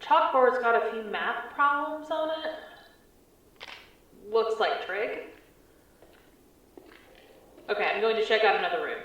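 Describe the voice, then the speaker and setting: Woman acting a cautious lady agent reporting from some creepy building, lively but clipped, muffled, sounds far from the mic. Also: on the high side, measured pace. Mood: wary, observant. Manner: terse, observational, conversational. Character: wary female field agent exploring an eerie building. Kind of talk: audio drama field report. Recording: echoey, distant, muffled transmission.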